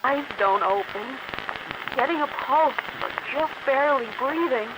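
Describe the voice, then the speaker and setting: A woman's voice: high voice, animated, a little slow. An actress playing a troubled female nurse in a horror game, clinical yet uneasy. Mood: uneasy, distressed. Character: troubled female nurse observing a dying child. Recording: very noisy, rain-like static ambience.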